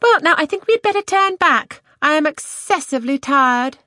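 Querulous young wife for period fiction female reader acting: very high, swooping, complaining and self-absorbed. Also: expressive, moderate pace, sharp tone, no echo, clean studio sound. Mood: alarmed, fretful. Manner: exclamatory, querulous. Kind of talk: classic novel audiobook character voice.

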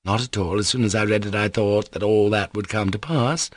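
A man reading an audiobook, voicing a gentle, guileless young man in a mild, sincere, plain-spoken way. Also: on the low side, steady tone, brisk, no echo, clean studio sound, close to an everyday voice. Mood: earnest.

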